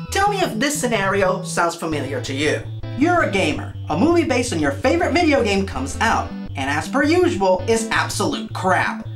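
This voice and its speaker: A man hosting a scripted comedic film review in an animated, sarcastic manner. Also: very high-pitched. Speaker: video reviewer, show host.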